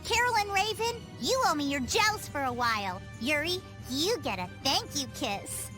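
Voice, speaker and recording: A woman's voice: high voice, animated, normal speed, pushed and bright, soft background music. A woman voicing a spirited, bossy young girl in a video game, cocky and playful. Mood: gleeful.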